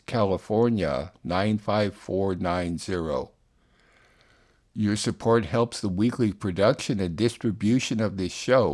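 A man with a fairly low-pitched voice hosts a radio program. He delivers scripted announcements and introduces news segments in a plain presenting style. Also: a little mellow. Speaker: radio show host and producer.

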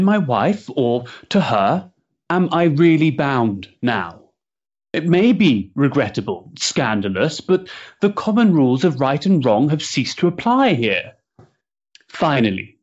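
An actor playing an earnest, overwrought young gentleman in a period stage drama, speaking in an impassioned, declamatory way. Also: on the high side, dull audio.

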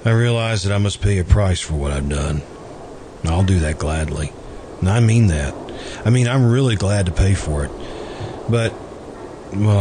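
A man acting an earnest, lovesick, quietly obsessive man in an old-time radio drama, halting and pleading as he repeats himself. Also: sits low, natural voice. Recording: noisy amateur radio drama recording.

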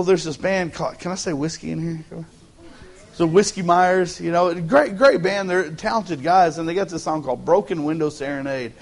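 A Texan pastor preaching to his church in a relaxed, joking way. He talks with a slightly high voice, loose and folksy, often restarting phrases as he goes. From Texas. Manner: joking, folksy, repeats words as he starts phrases. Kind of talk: casual humorous sermon. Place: church sanctuary.

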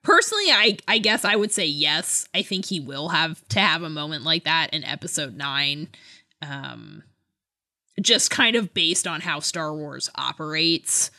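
A woman with a bright, forward voice on the lower side talks as a podcast co-host. Her pitch moves around a lot, giving her an animated delivery. The style is casual, conversational chat with plenty of hedging. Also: unhurried. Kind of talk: casual chat about books and films.

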